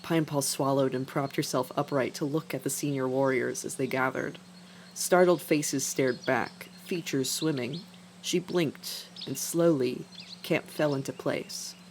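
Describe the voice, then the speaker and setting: A woman's voice: a bass voice, fairly even intonation, a little slow, a little hiss or hum, rough recording. A woman reading scripted fiction as a dramatic narrator. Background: music.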